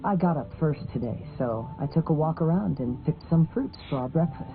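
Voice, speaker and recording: An actor playing a calm, thoughtful young wandering swordsman in an anime-style game, speaking in a measured, gentle way. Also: fairly high pitch. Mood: calm, reflective. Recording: phone-like band, faint music behind.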